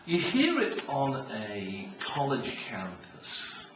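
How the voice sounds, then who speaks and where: A man's voice: medium pitch, wildly swooping pitch, slow, highs cut off. A middle-aged man giving a humorous keynote talk with anecdotes, in a wry, joking way. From Israel. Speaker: keynote speaker. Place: large room with an audience. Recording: echoey, noisy hall, distant microphone.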